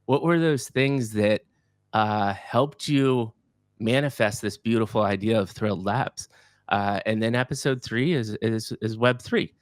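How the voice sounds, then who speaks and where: Upbeat, low-voiced male podcast host. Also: a little slow, no room sound, studio quality. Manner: energetic, effusive, repeats words when excited. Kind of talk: enthusiastic podcast interviewing.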